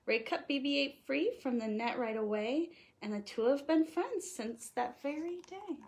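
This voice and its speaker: A woman reading a children's picture book aloud in an animated, playful way, leaning on the rhymes. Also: sits high, normal speed. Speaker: storytime host reading aloud.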